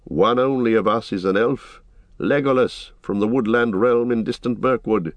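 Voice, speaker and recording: A man voicing a noble, resolute ranger and royal heir in a fantasy audiobook, with measured, lofty, commanding speech. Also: medium pitch, no echo, pitched above the performer's own voice, lightly acted. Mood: calm. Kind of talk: dramatic audiobook fantasy reading.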